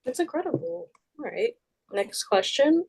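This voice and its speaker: A woman's voice: a little high, big pitch swings, measured pace, darkish tone, breathy edge, dry recording. A young woman in a summer youth program, asking interview questions in a friendly, informal way. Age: young adult.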